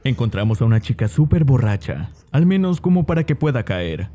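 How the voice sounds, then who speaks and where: A man's voice: medium pitch, big pitch swings, fairly quick, warm tone, lightly acted. A man voices a teenage boy who schemes and gives orders to his friends. He is glib and smug, with a Latin American accent. The delivery is that of an amateur drama dub, cocky throughout. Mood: bossy, scornful. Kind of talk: amateur fandub acting.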